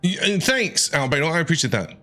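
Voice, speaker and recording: A man giving jokey running commentary on a game. His voice is high and bright, with very lively swings in pitch, and he repeats phrases as he reacts. There is slight noise and game ambience behind him. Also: fast talker. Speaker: streamer playing a game. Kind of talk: casual reactive gameplay commentary.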